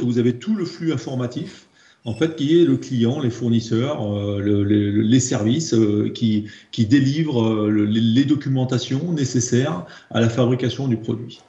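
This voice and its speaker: A man's voice: mid-range voice, dark tone. A man with a French accent explaining slides as a trainer, hesitant and often repeating words as he goes. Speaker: presenter, trainer in process improvement. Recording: dull, echoey room recording.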